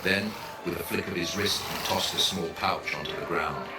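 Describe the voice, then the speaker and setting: A man's voice: low voice, moderate pace, very noisy. A man narrating a scripted dramatic story as the narrator of an audio drama. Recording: roomy echo with music underneath. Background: music.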